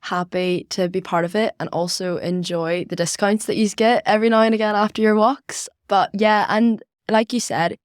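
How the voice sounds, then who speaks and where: A woman's voice: medium pitch, fairly even intonation, moderate pace, hardly any pauses, clean tone, no room sound, studio quality. A young woman, hosting a conversational podcast interview. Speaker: podcast host.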